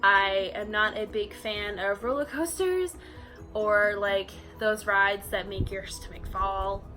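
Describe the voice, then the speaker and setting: Bright-voiced chatty young woman. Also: mid-range voice, slow, soft background music. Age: 20s. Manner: chatty, frequent fillers like um and you know. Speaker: vlogger. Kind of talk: casual facts-about-me vlog.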